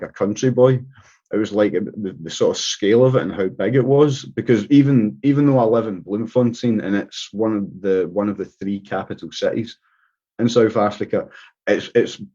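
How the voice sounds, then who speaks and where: A man's voice: lowish voice, mellow, breathy edge. A man from Scotland with a Scottish accent, a guest talking casually in an interview, rambling with many restarts and fillers. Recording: dull lo-fi sound.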